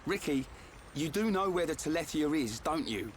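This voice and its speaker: A man's voice: fairly high pitch, expressive, moderate pace, a little hiss or hum, close to an everyday voice. A man playing a brash, teasing and blunt young fighter in a video game. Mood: skeptical. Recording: music behind the voice.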